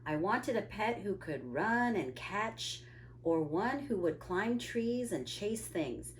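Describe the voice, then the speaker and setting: Woman, storytime reader, picture book read-aloud, lively swooping intonation, drawn-out emphasis, faint background noise. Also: mid-range voice. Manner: animated, drawn-out emphasis, asides to young listeners.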